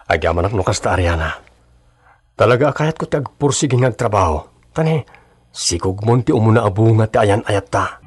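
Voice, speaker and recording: A man's voice: on the low side, animated, fairly quick, close to an everyday voice. A man acting in an Ilocano radio drama as a devoted, hardworking young husband who drives a jeepney. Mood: earnest.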